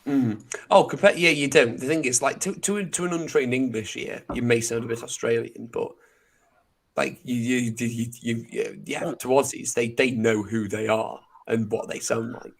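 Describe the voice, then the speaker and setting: A man's voice: mid-range voice, steady tone, normal speed, gravelly. A man chatting as a guest on a stream, friendly, often stammering over his restarts. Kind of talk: casual group chat.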